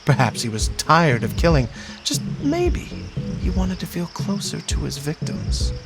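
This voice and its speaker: Mocking male villain, condescending, theatrical, very lively intonation, smug taunts, video game drama, music behind. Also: a little high, measured pace, vocal fry, exaggerated voice. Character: smug, mocking male psychopath toying with a detective. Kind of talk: video game cutscene dialogue.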